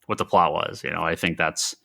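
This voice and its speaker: A man with a fairly low voice and a bright, forceful edge. He talks informally on a comics podcast, explaining things as a shop retailer would in a casual panel discussion. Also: steady tone, fairly quick, creaky.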